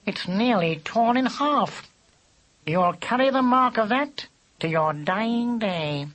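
A man voicing a grave, haughty storybook Siamese cat gentleman with silky, formal, precise speech. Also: high voice, expressive, pitched above the performer's own voice, full character voice. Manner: formal, precise, drawn-out delivery.

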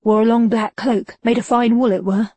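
A woman's voice: on the high side, fairly even intonation, moderate pace, darkish tone, no room sound, pitched above the performer's own voice. A woman doing a cocky street informant. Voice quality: rough slangy street talk. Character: shifty male Victorian street informant, cocky. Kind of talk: audiobook character voice.

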